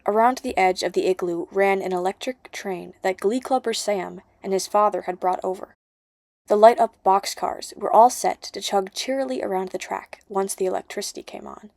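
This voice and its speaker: A woman's voice: medium pitch, moderate pace, gravelly, a little mellow, no echo, clean studio sound. A woman narrating a first-person story as an amateur audiobook reader.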